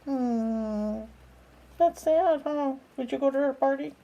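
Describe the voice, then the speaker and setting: A woman's voice: high-pitched, warm tone, muffled recording. A woman reading a children's picture book aloud, breaking off for chatty, coaxing questions to a small companion. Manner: unhurried, asks simple coaxing questions. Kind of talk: children's read-aloud with chatty asides to a bird.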